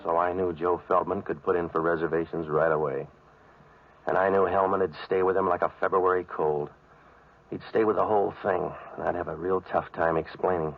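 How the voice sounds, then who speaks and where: Dull old radio sound carries a hard-boiled American noir troubleshooter. His voice is low and a little husky, with sardonic wisecracks delivered deadpan in 1940s radio drama style. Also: heavily acted. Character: wry, hard-boiled male private troubleshooter, narrating his case.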